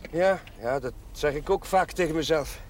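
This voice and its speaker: An actor playing an earnest young chaplain. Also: male voice, on the high side, moderate pace, a little mellow, lightly acted. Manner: earnest, a little flustered. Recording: 1970s TV audio with faint noise.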